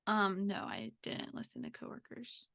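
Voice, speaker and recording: Woman podcast cohost just chatting, bright clear-cut tone, says like a lot. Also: mid-range voice, huge pitch swings, moderate pace. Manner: informal, heavy use of "like". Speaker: podcast co-host. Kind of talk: casual chat.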